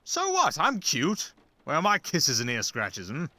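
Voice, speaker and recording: Talking fox sidekick voiced by an actor, fantasy audio drama. Dry, nagging, jealous; mid pitch, very lively intonation. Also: a little slow, no room sound, exaggerated voice. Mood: jealous, indignant. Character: sarcastic, jealous talking fox familiar named Paul.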